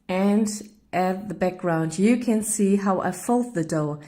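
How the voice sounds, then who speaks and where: A woman with a German accent narrates a baking vlog in English, her second language, pausing with fillers as she hunts for words. She talks slowly in a relaxed, warm tone. She keeps apologizing for her vocabulary. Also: mid-range voice. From northern Germany. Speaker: cooking vlogger. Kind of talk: narrating a cooking video.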